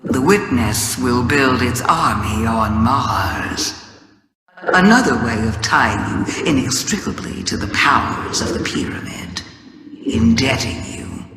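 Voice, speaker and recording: A man playing a cryptic, gloating game schemer who speaks in riddles, deliberate and theatrical. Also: mid-range voice. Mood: grave, knowing. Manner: slow, deliberate, ominous. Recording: echoey hall-like reverb.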